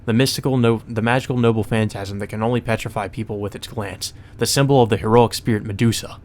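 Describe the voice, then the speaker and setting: A man's voice: on the low side, fairly even intonation, quick pace, a little mellow. A man reading visual novel narration aloud as a let's play, mixed with casual, sweary commentary.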